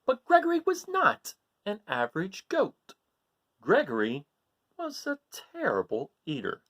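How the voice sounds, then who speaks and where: A man reading a picture book aloud to children in an animated way, asking his listeners questions. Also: high voice, measured pace. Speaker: read-aloud storyteller.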